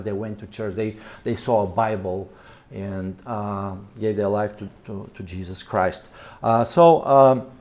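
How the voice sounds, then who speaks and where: A man in his fifties from Bulgaria, with a Bulgarian accent, giving a seminar talk as a missionary, pausing often on fillers and repeating words. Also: lowish voice, darkish tone. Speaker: missionary and church planter speaking at a seminar. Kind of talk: seminar presentation. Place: seminar room with an audience.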